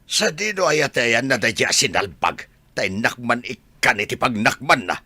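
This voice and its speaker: A man playing a blunt, protective older father in a radio drama, rough-voiced, chiding and exclamatory. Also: mid-range voice, sing-song, normal speed, pushed and bright, no room sound, heavily acted. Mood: disapproving.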